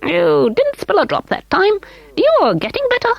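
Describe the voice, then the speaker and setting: A squeaky, sly little elfin man, put on by a woman reading a storybook, teasing in a high little voice that leaps up and down in pitch. He chuckles and talks quickly. The sound is close and dry. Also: wildly swooping pitch, creaky, no echo, dull audio, exaggerated voice. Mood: playful.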